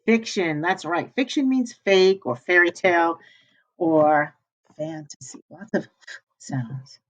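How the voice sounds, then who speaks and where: A woman reading a storybook aloud like a teacher, pausing to ask children questions and answer them. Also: on the low side.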